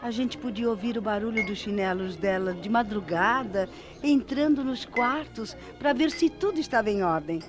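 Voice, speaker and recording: An actress playing a caring, knowing hospital colleague in a Brazilian radio mystery drama. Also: female voice, medium pitch, normal speed, music in the background, close to an everyday voice. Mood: gently revealing.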